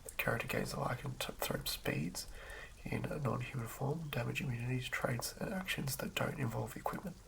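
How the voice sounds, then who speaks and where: A man reading in a hushed, breathy ASMR whisper with a halting, measured delivery. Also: medium pitch, low-quality recording. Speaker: host of an ASMR channel. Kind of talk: ASMR reading of game rulebook.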